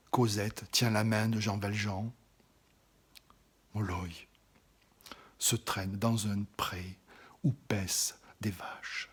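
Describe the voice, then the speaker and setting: Man, poetry recitation, very slow, very breathy, whispers often, swells on some phrases. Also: fairly low pitch. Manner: drops often into an intimate whisper.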